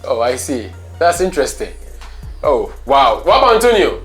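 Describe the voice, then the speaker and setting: A man's voice: mid-range voice, big pitch swings, normal speed, faint music behind. Ghanaian man hosting a chatty talk show. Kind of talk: conversational talk show hosting with Pidgin phrases.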